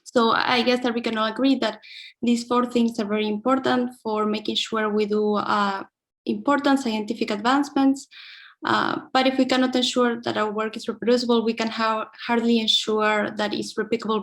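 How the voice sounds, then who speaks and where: A young woman from Argentina giving an academic keynote talk, careful and thoughtful, with frequent fillers and restarts. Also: on the high side. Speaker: invited keynote speaker.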